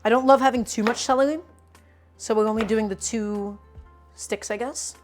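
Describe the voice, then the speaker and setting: A woman's voice: fairly high pitch, steady tone, normal speed, over music. A woman in her twenties hosting a casual cooking livestream, teasing her viewers and explaining each step while she chops. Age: 20s. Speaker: cooking streamer.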